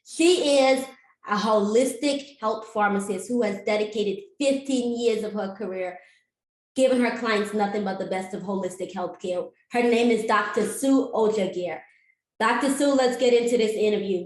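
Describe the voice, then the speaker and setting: A woman's voice: mid-range voice, moderate pace. A woman hosting an interview show, introducing her guest and asking questions in an upbeat, emphatic way. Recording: echoey room.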